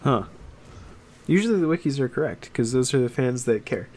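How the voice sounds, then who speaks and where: Male podcast co-host, average pitch, conversational and opinionated, builds points in fragments, slight background noise. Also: lively intonation, brisk, a little mellow. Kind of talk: casual opinionated chat.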